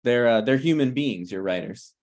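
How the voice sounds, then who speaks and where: A prickly, needy screenwriter in his late thirties, played by a man in a table read. He speaks casually but keeps pressing. His mid-pitched voice moves up and down in lively swings. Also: normal speed, lightly acted. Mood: accusing. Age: 30s. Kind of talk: table read of a TV pilot script.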